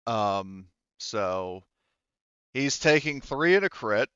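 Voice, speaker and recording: A man's voice: mid-range voice, expressive, slow, fairly bright tone, smooth, no echo, highs cut off. A man acting as game master for a tabletop roleplaying game, improvising narration and thinking aloud as he works out the rules. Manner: hesitant, thinking aloud while working out rules.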